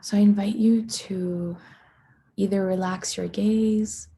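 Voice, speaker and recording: A woman guides a meditation in a very breathy, hushed voice with a deeply mellow, dark tone. She speaks slowly and soothingly, leaving long pauses between short phrases. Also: steady tone. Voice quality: soft, breathy.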